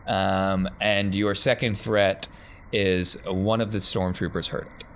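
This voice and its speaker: A man's voice: sits low, little pitch movement, takes it slowly, a bit bright, a little hiss or hum. A man working as game master, narrating a tabletop game and talking through the rules off the cuff.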